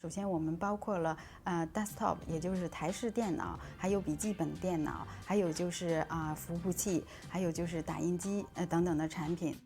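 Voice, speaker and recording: Measured company spokeswoman, lower tone. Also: steady tone, a little mellow, soft background music. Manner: measured, with hesitant fillers. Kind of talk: interview answers.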